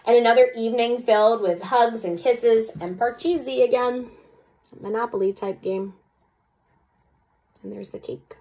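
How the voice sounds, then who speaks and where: A woman's voice: on the high side, measured pace, long pauses, warm tone, poor audio. A woman, a second-grade teacher, reading a storybook aloud expressively and adding chatty explanatory asides for children.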